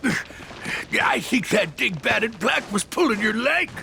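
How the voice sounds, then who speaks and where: Gravelly, high-pitched bumbling henchman. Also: sing-song, unhurried, bright, forceful tone, a little hiss or hum, full character voice. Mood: doubtful. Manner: folksy, uncouth slang. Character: bumbling, grumbling male cartoon henchman, big oaf. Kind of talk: cartoonish video game acting. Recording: music behind the voice.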